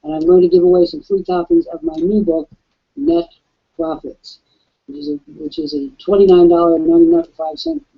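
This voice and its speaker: A raspy-voiced man hosting a live webcast and pitching an offer, emphatic like a salesman. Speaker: webcast host. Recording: dull lo-fi webcast audio.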